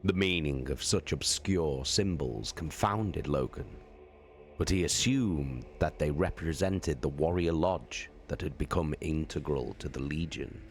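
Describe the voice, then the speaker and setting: A man streamer giving a slow, deliberate dramatic reading of a novel. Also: very deep voice, slight background noise. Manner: slow, deliberate, drawn-out phrasing. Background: faint background music.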